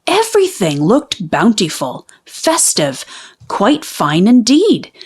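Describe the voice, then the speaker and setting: A woman reading a children's storybook aloud in an expressive, deliberate way with dramatic pauses. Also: mid-range voice, breathy edge. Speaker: children's book reader. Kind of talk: animated storybook read-aloud.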